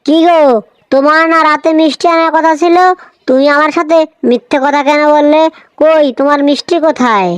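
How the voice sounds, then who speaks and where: A woman's voice: high-pitched, fairly even intonation, fairly quick, close to an everyday voice. A woman voicing an assertive, practical village housewife in a cartoon. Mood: scolding. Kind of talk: Bengali cartoon voice acting.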